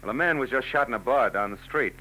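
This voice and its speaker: An actor playing a hardboiled private eye in a 1940s radio drama, dry and sardonic with quick wisecracks. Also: male voice, mid-range voice, animated, pushed and bright, slight background noise, poor audio. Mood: wry. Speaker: narrator and lead.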